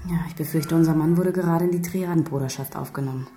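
A woman's voice: deep voice, fast, warm tone, echoey room, a little hiss or hum, natural voice. An actress playing an uneasy, probing female police investigator who voices doubts, in a radio crime drama. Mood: concerned.

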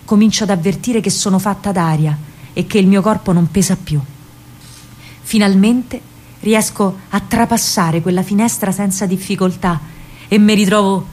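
A woman playing a spirited, dreamy young woman with a Roman accent in an expressive radio drama monologue full of dramatic pauses. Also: medium pitch, natural voice. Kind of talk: theatrical first-person monologue, radio drama.

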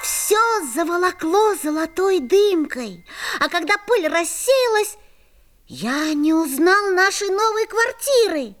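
A woman voicing a little storybook narrator, telling a children's fairy tale in an expressive scripted way. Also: very high voice, takes it slowly, soft background music, exaggerated voice. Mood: storytelling.

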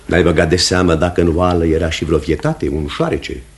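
An actor playing a commanding pastor who hunts the devil, speaking in an emphatic, oratorical radio theatre manner. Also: male voice, low-pitched, fairly even intonation, slight background noise, lightly acted. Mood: probing, intense. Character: commanding, certain male pastor hunting witchcraft and the devil.

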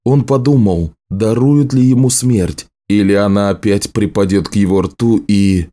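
A man reading a novel aloud as an audiobook narrator, in a measured, serious storytelling manner. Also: fairly low pitch, mellow. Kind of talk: scripted literary narration.

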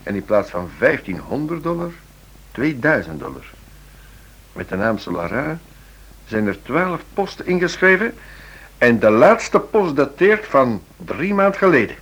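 A man's voice: medium pitch, very animated, unhurried, a bit bright, husky, heavily acted. An actor playing a keen, methodical investigator reporting to his superior in a radio play. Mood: intrigued, deliberate. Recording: radio play with faint noise.

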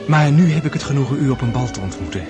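Actor as courtly, tender prince over loud music. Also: male voice, medium pitch, animated, fast talker, close to an everyday voice. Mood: courteous, gallant. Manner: formal, courteous, gallant. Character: courtly, once world-weary prince, tenderly smitten with a young countess. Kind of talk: period radio drama acting. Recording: radio play with loud music behind.

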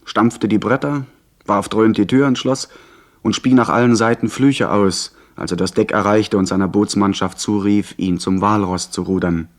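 An actor playing a proud, defiant young man who narrates his sea adventure in an expressive, literary radio play style. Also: on the low side, normal speed, close to an everyday voice. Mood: earnest narrating.

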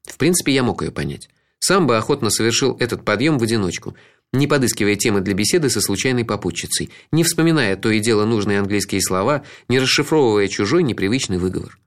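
A man's voice: on the low side, lively intonation, fast. A man reading a first-person story aloud, reflective and ironic. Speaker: audiobook narrator. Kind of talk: first-person literary narration.

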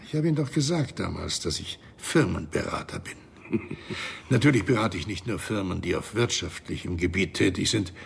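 An actor playing a smug, middle-aged interrogator in a crime radio drama, mock-polite and needling in a husky, gravelly voice. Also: male voice, deep voice, lively intonation, moderate pace, slight background noise, heavily acted. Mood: smug, condescending. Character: smug, menacing male consultant interrogating his captive.

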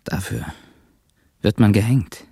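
An actor playing a rough, lustful drifter and secret lover in a naturalistic radio drama, terse and muttering, with a gravelly, husky voice. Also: male voice, fairly low pitch, lively intonation, slow, warm tone, no echo, studio quality, full character voice. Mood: hesitant, restless.

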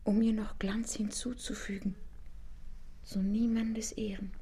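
Breathy, mellow woman as devoted lover. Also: mid-range voice, little pitch movement, measured pace. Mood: awed, hushed. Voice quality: soft, breathy, often whispering. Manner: hushed, poetic, intense delivery. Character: devoted young female lover, yielding and ardent. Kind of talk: literary German radio play acting.

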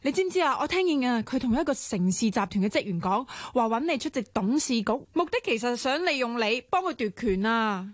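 A high-voiced, tipsy young woman. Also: very animated, a little fast, hardly any pauses, dry recording. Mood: pleading. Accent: Hong Kong Cantonese. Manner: emphatic, exclaiming, insistent. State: slightly drunk. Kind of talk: radio drama acting.

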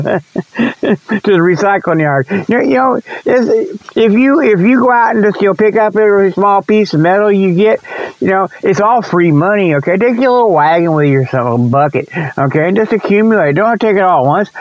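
A man's voice: high-pitched, no room sound, lo-fi sound. A man hosting a comic radio segment in a rambling, folksy, repetitive way, peppered with okay and you know. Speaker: host of a comic report segment. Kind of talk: rambling comedic radio monologue.

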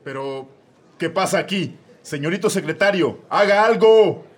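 A man's voice: high voice, animated, normal speed. A man with a Mexican accent voicing an irritable judge who barks orders in a comedy radio drama. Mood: exasperated. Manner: exasperated, barking orders. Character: irritable, bossy male judge.

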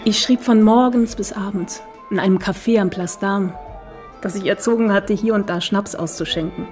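A woman's voice: medium pitch, normal speed, a little mellow, soft background music, close to an everyday voice. An actress playing a woman writer who looks back fondly and reflectively in a radio play memoir. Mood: fond, wistful. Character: admiring woman writer recalling her younger days.

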